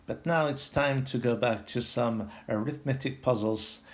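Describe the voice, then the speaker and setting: A man from France with a French accent, giving an unscripted puzzle tutorial in a halting, step-by-step way, thinking aloud. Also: medium pitch. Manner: halting, pauses mid-sentence, explains step by step. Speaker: puzzle video host.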